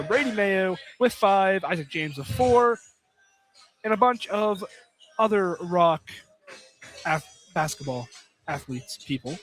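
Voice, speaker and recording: A man doing live play-by-play basketball commentary on radio. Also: sits high. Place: college basketball field house. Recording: clearly noisy live broadcast.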